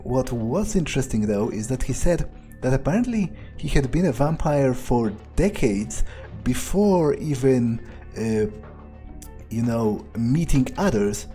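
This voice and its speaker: A man improvising the thoughts of a cynical, power-loving vampire during a game playthrough, rambling and haltingly sardonic, with some swearing. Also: medium pitch, lively intonation, dark tone, faint music behind, lightly acted. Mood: musing, gloating. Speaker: player of a video game, speaking in character.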